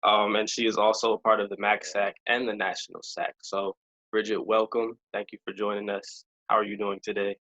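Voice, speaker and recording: Upbeat low-voiced young male host. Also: little pitch movement, brisk, bright, forceful tone, muffled recording. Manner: upbeat, conversational, with many "you know" fillers. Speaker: podcast host. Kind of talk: casual interview.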